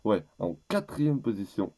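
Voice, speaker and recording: A teenage boy with a low, soft-edged voice, audibly stuffed up with a cold. He talks in a rambling, hesitant way, often stopping and starting over mid-sentence. The delivery is loose and casual. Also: very animated, unhurried. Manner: rambling and hesitant, with many restarts. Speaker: YouTuber. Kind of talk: casual gift-list vlog.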